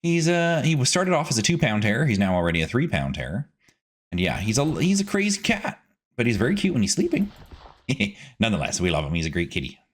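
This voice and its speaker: A man giving casual gameplay commentary as a streaming host, chatty and animated, rambling as he goes. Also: medium pitch, fast talker.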